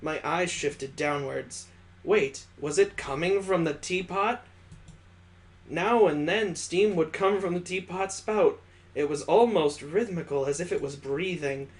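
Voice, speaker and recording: A man's voice: on the high side, unhurried. A man hosting a gaming video, reading a visual novel aloud expressively with casual asides.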